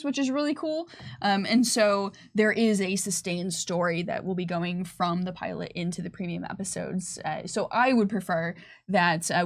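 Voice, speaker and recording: A woman answering audience questions as a panelist on a livestream, speaking informally with a self-deprecating touch. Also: lowish voice. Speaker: panelist and game developer on a company livestream. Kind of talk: casual livestream Q&A chat.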